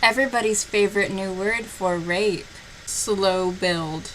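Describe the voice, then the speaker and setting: A woman's voice: mid-range voice, measured pace, a little hiss or hum, rough recording. A woman giving a mocking, sarcastic dramatic reading of a story, with her own commentary as host. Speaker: host reading fan fiction aloud. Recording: loud music behind the voice. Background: music.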